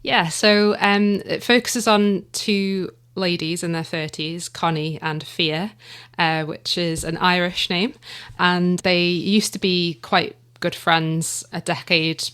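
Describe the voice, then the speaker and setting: A woman guest describing her new audio drama in a casual interview, pausing often with ums and restarts. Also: lowish voice, fairly even intonation, fairly bright tone, clean tone. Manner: hesitant, with many ums and restarts.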